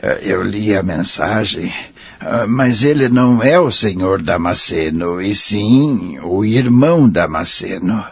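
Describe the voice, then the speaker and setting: A man playing a gentle, repentant old man near the end of his life, speaking earnestly and formally with a Brazilian accent in an audio drama. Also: lowish voice, expressive, slow pace, slightly rough, no echo, exaggerated voice. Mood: solemn. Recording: dull lo-fi audio with music behind.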